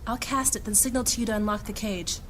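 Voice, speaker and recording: A woman's voice: fairly high pitch, quick pace, a little hiss or hum, close to an everyday voice. Woman playing a proud, commanding female mage. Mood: urgent, composed. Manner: formal archaic diction. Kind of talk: high fantasy audio drama acting.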